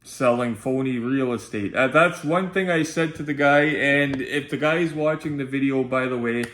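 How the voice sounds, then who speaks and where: A man's voice: mid-range voice, normal speed, a bit bright. A man with a nasal voice, chatting informally to viewers as he hosts a casual unboxing vlog. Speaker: video host and collectibles unboxer. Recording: roomy, echoey sound.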